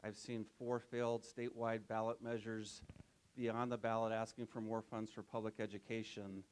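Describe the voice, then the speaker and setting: A man's voice: lowish voice, flat delivery, moderate pace, slight background noise. A man, a district superintendent, speaking earnestly on a panel discussion at a live event. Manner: earnest, persuasive policy talk. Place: event room with live audience.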